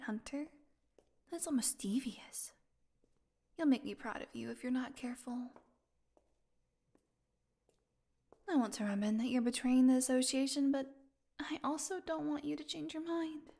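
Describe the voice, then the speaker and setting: Slow, weary, sardonic vampire woman, acted by a woman. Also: high voice, mellow. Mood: surprised, teasing. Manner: sardonic, halting, breathy asides. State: weak, tired. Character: weakened captive female vampire, wry, teasing, wounded. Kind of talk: audio roleplay acting addressed to listener.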